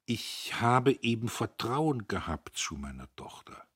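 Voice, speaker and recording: Brief: broken, pleading older father, read by a male narrator, soft and slow. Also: low voice, breathy edge, dry recording, clean studio sound, full character voice. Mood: uneasy. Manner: slow, hushed, trembling. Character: aging father, pleading, shaken, near tears.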